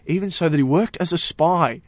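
A man's voice: a little high, brisk, crowd noise. A man co-hosting a casual podcast, telling stories to his co-hosts in a chatty way, with lots of fillers. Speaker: podcast co-host presenting stories. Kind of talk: casual group chat.

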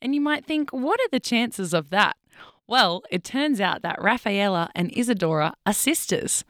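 A woman's voice: mid-range voice, animated, moderate pace, a bit bright, no room sound. A woman hosting a radio show from the studio, chatting in a friendly, encouraging way. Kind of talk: casual radio chat.

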